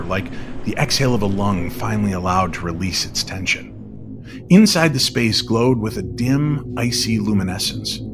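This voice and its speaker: Lowish-voiced man narrating a radio drama. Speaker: storyteller. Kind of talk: dramatic scripted narration, old-time radio storytelling. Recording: music behind the voice.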